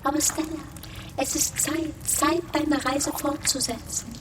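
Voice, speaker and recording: A woman voicing a small, all-knowing blue alien creature in a children's radio play, calm and gently cryptic. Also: high voice, unhurried, background noise.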